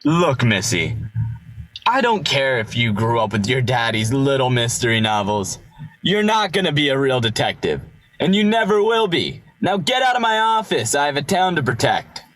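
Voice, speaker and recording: A man's voice: medium pitch, sing-song, moderate pace, a bit bright, no echo, poor audio. A man playing a sneering, condescending small-town bully in an amateur radio play, mocking and taunting. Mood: dismissive.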